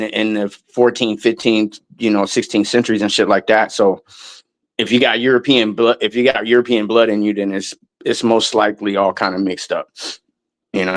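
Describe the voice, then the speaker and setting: A man's voice: fairly low pitch, rough recording. A man in a casual interview, talking in a loose, rambling way with lots of restarts and some swearing. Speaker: guest musician.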